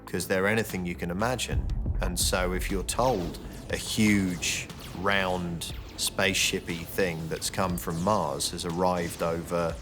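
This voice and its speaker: Documentary commentator: British man, very low voice, chatty and explanatory, prominent music behind. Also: steady tone, a little slow. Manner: colloquial, explanatory. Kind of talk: conversational interview.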